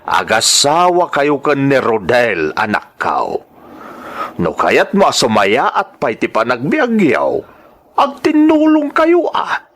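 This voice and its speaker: An actor playing a stern, exasperated elderly father in a radio drama, scolding with drawn-out emphasis. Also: medium pitch, huge pitch swings, fairly bright tone, full character voice.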